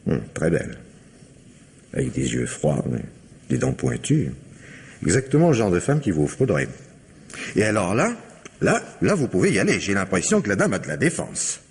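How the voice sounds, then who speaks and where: Long reverb surrounds a wry male confidant in a radio mystery. The voice is very low and swings widely in pitch. He teases in a dry, knowing manner. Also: wildly swooping pitch, moderate pace, long pauses, breathy edge, heavily acted. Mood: wry, teasing. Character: wry, unhurried male confidant teasing the inspector. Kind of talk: French radio mystery drama acting.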